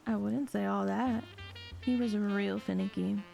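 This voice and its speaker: A woman's voice: mid-range voice, fairly even intonation, a little slow, vocal fry, dark tone, soft background music. A woman playing a sly, sweet-talking con artist in an audio drama, with a sassy, teasing, self-assured delivery. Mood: coy, teasing. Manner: sassy, drawling terms of endearment, teasing.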